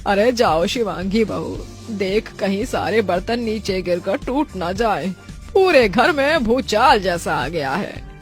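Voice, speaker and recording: A woman voicing a fussy middle-aged village mother-in-law in a family cartoon, with drawn-out exclamations and nagging questions. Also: mid-range voice, animated, faint music behind. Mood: scolding. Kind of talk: Hindi family cartoon voice acting.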